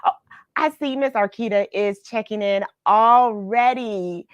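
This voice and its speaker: A woman's voice: mid-range voice, a little slow, dry recording. A middle-aged American woman hosting a livestream read-along, chatting casually and effusively with viewers and drawing out some words. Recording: dull, lo-fi, dry livestream audio.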